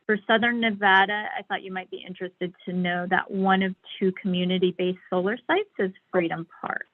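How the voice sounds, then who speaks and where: A woman's voice: medium pitch, fairly even intonation, fairly quick. A woman giving a slide presentation on a conference call over a thin, tinny phone line. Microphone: phone or online call.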